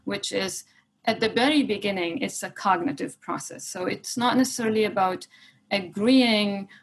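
A woman in her thirties from Palestine, interviewing in an earnest way with many pauses, speaking through earphones on a video call from her living room. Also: medium pitch, husky, poor audio. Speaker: interviewer, executive director. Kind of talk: personal conversational interview. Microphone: earphone headset on video call.

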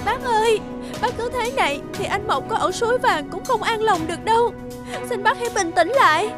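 Devoted young woman, very high voice. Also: animated, moderate pace. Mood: urgent, pleading. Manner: earnest, pleading, respectful. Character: devoted, gentle young village woman, pleading. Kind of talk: animated folk tale voice acting. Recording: loud music behind the voice.

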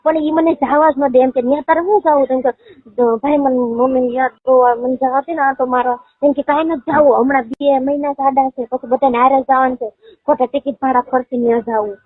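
A woman with a high-pitched, husky voice and a Gujarati accent. She talks casually and affectionately, as in a private phone call. The line is tinny and narrow. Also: fairly even intonation, a little fast, no echo. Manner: affectionate, often using pet names. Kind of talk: intimate casual phone chat.

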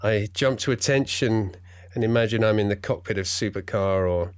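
Wry man in his sixties, lowish voice. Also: moderate pace. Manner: wry, self-deprecating. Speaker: podcast guest. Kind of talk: relaxed interview chat.

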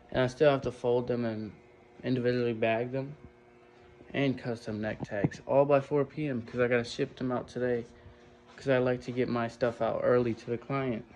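Give me a young man in his twenties filming himself on a phone in a basement workshop, rushing and chatty, with a little room noise. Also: mid-range voice, darkish tone. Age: 20s. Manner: hurried, chatty, talking while working. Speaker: screen printing business owner. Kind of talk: casual vlog to camera. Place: home basement print shop. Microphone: phone at arm's length.

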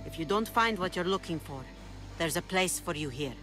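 A weathered pirate captain in a video game cutscene, calm and measured, with a notably low voice. Game music plays under her lines. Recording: game score playing behind.

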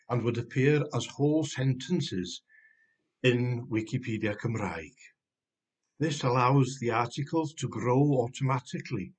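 A man in his sixties from Wales, a native Welsh speaker with a Welsh accent, giving a measured, explanatory tutorial recorded at a desk webcam at home. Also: mid-range voice, darkish tone, husky. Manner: measured, explanatory, some restarts. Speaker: Wikimedia manager presenting a tutorial. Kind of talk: screen-recorded tutorial narration. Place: home room, indoors.

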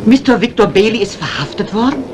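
An excitable, nervous maid with a swooping delivery , performed by a woman. It is fifties radio mystery acting, with clear noise behind the voice. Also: medium pitch, brisk, close to an everyday voice. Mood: flustered. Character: anxious, excitable female housemaid and companion. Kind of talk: 1950s German radio crime drama acting. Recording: noisy old radio drama, storm effects.

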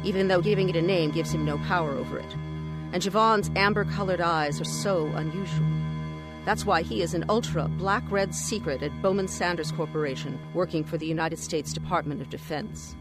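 A woman narrating a dark sci-fi thriller audio drama. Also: fairly low pitch, natural voice. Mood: storytelling. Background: loud music.

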